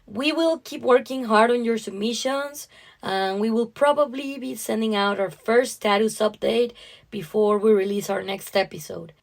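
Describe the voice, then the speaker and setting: A woman's voice: on the high side, moderate pace, vocal fry. A woman co-hosting a podcast, talking conversationally about short stories. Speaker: podcast co-host. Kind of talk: conversational story discussion.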